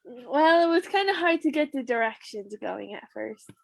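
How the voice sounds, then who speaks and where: A woman's voice: high-pitched, expressive, normal speed, creaky, no room sound. A woman actor chatting casually on a video call in short, simple, enthusiastic remarks.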